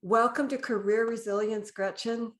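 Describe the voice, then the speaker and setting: A woman's voice: on the high side, steady tone, normal speed, a bit bright. A woman with a Canadian accent, speaking as the host of a podcast interview.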